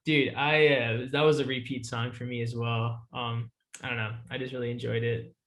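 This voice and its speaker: A man's voice: medium pitch, level tone, moderate pace, fairly bright tone. A man interviewing a musician, easygoing and chatty, swearing casually.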